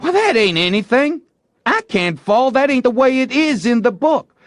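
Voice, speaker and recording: A man voicing an excitable, bossy young boy in a storybook, talking theatrically and in folksy dialect as he plays a bold outlaw. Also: extremely high pitch, moderate pace, dry recording, clean studio sound, voice pushed up. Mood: exasperated, insistent. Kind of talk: acted storybook dialogue.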